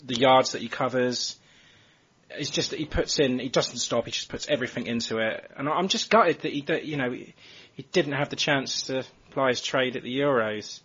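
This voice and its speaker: Husky male football podcast host, muffled audio. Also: medium pitch, moderate pace, fairly bright tone. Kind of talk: casual sports discussion and interviewing. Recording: dull, lo-fi voice recording.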